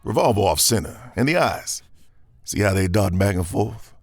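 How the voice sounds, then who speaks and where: An actor playing a cocky, dangerous gunslinger in a Western audio drama, with a gravelly Southern American drawl and theatrical, taunting delivery. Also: male voice, fairly low pitch, lively intonation, moderate pace, no echo. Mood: smug, needling.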